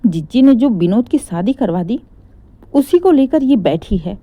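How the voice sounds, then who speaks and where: A woman's voice: on the high side, very animated, measured pace, no echo, highs cut off. A woman voicing a stern, sarcastic middle-aged mother-in-law in a story, scolding with pointed rhetorical questions. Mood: explaining, indignant.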